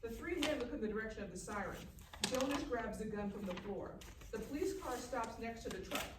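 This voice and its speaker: A woman's voice: a little high, fairly quick. A woman reading screenplay action lines as narrator at a live table read. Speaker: stage-direction narrator. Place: live reading in a festival room. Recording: echoey room, distant mic, faint noise.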